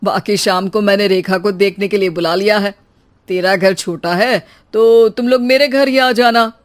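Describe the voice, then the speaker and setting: A woman voicing an eager, cheerful neighbourly matchmaker in a cartoon moral story, loud and familiar. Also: medium pitch, fairly even intonation, normal speed, natural voice. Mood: eager, friendly.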